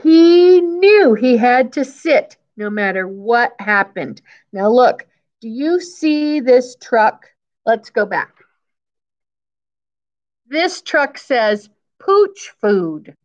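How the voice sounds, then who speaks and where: Slow-paced woman reading to children. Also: on the high side, lively intonation, darkish tone, dull audio. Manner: simple explanations, repeating key phrases for young listeners. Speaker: teacher reading aloud to children. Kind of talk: children's picture book read-aloud with explanatory asides. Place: indoors, school classroom.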